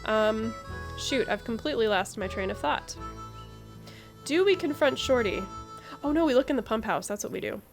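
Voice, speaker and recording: A woman giving casual, expressive playthrough commentary, thinking aloud. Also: a little high, moderate pace, long pauses. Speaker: game streamer. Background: game music.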